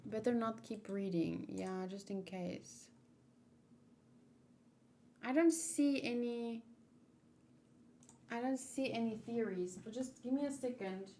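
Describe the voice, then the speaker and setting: A woman hosting a casual livestream, talking in a rambling, halting, easily distracted way. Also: on the high side, dark tone, slight background noise. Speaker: livestream host doing manga read-alongs.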